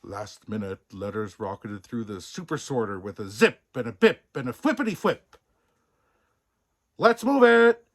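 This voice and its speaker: A man narrating a children's story as a storybook reader, expressive, playful and theatrical, relishing the sound words. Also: fairly low pitch, normal speed, hardly any pauses. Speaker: storybook reader in a Santa persona.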